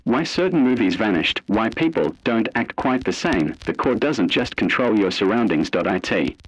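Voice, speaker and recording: A man delivering scripted analog horror narration in an ominous, solemn and deliberate manner. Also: on the low side, animated, breathy edge, rough recording.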